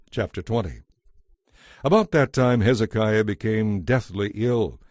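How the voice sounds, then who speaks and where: A man with a mid-pitched voice narrates a dramatized Bible. He reads scripted storytelling, and the recording is dry with no room sound. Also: a little mellow, no echo.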